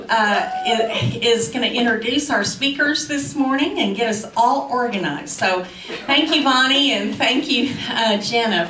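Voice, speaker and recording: A woman's voice: on the high side, sharp tone, a little hiss or hum. A woman opening a school event, thanking the volunteers with a few brief hesitations. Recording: echoey room from far off.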